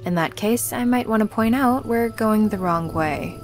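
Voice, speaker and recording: A medium-pitched young woman's voice, acted by a woman, understated and dry. She is a practical, reserved necromancer in a visual novel, with soft music in the background. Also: normal speed, lightly acted. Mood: mildly reproving. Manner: understated, matter-of-fact. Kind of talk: visual novel character dialogue.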